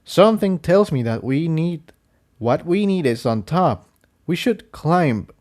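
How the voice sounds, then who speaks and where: A man's voice: fairly high pitch, lively intonation, measured pace, darkish tone, voice pushed up. A man voicing an earnest, inquisitive male pony adventurer in a story reading. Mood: thoughtful.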